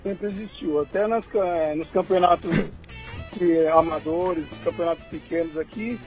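A man from São who coaches amateur football, talking as a guest in a halting, repetitive way. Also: on the high side, a little mellow, soft background music. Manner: hesitant, repeats words. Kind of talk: casual interview chat.

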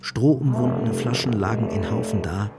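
A man's voice: mid-range voice, normal speed, over loud music. A man narrating a scripted literary radio drama as storyteller.

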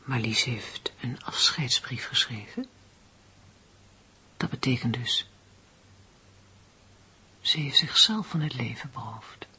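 An actress in a radio play, playing a woman who questions and narrates a suspense story in a measured, hushed way. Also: a bass voice, breathy edge, whispered, exaggerated voice, poor audio. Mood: hushed shock.

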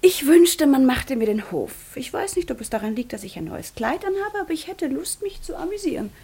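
A woman acting a proud, sharp-tongued, wounded young noblewoman in a German radio drama, theatrical, often dropping to a whisper. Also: sits high, sing-song, fairly quick. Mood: teasing, coquettish. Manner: theatrical, teasing, accusing.

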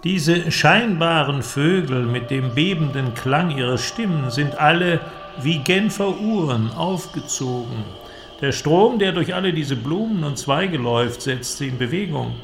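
A man's voice: a little high, expressive, a little slow, fairly bright tone, soft background music. Echoing, courteous inventor, played by a man. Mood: courteous, explaining. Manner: polite, formal, commanding. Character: courteous, self-assured male inventor and wizard-like host. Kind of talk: theatrical audio drama dialogue. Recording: echoey hall, long reverb, faint noise.

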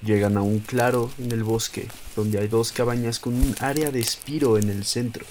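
A man's voice: medium pitch, fairly even intonation, moderate pace, darkish tone, a little hiss or hum. A man with a Mexican accent reading scripted narration for an audio story.

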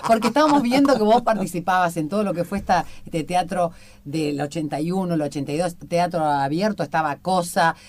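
Low-voiced Argentine woman, radio host. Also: fairly quick, a little mellow. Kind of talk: conversational interviewing and reading aloud.

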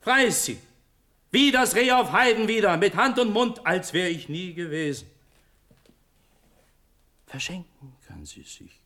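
A man's voice: sits high, expressive, unhurried, pauses often, bright, forceful tone, exaggerated voice. An actor playing a desperate young prince begging for his life, in fervent, declamatory classical radio-drama verse. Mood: feverish renunciation.

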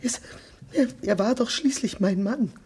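Actress as a fraught, despairing secret lover. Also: female voice, a little high, warm tone, a little hiss or hum, lightly acted. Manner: breathy, halting, fraught. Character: despairing woman in a secret love affair. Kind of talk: radio drama acting.

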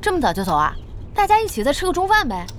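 Casting: brisk, expressive young female boss for audio drama, high-pitched, animated, slight noise behind. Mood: friendly, attentive. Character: brisk, friendly young woman boss, quick to fuss. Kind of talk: Chinese audio drama acting.

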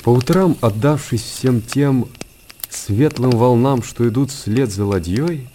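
An actor playing an aging, world-weary hermit monk in a radio monologue, speaking in a measured, pensive and solemn way. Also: male voice, mid-range voice, warm tone, a little hiss or hum, natural voice. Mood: contemplative.

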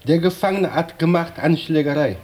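An actor playing a curt Portuguese prison official in a radio drama, stonewalling in halting, ungrammatical German with a Portuguese accent. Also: male voice, a little high, darkish tone, lightly acted. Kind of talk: naturalistic radio drama acting.

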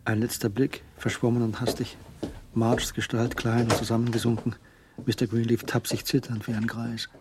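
A man's voice: medium pitch, monotone, normal speed, background noise, natural voice. A man acting as the anxious narrator of a suspect's thoughts in a crime radio play. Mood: anxious suspense.

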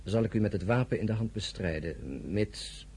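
An actor playing a principled, stern French republican general in an audio drama, speaking firmly and formally. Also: male voice, lowish voice, lively intonation, normal speed, darkish tone, a little hiss or hum, lightly acted. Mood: firm, defiant.

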